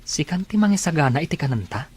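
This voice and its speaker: A man's voice: fairly high pitch, sing-song, fast talker, darkish tone, husky. A man acting a lovestruck, teasing young village man in an audio drama, with an Ilocano accent. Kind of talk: amateur Ilocano radio-style comedy drama acting.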